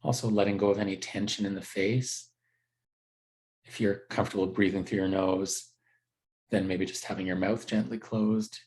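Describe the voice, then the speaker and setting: A man teaching a guided meditation in a gentle, unhurried, conversational way with halting pauses. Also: fairly low pitch, fairly even intonation.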